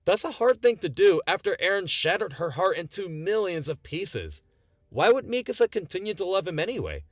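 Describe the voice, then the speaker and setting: Animated, higher-pitched young man narrating. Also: moderate pace, no echo. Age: 20s. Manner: earnest, emphatic with rhetorical questions. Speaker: video essayist. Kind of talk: scripted video essay narration. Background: background music.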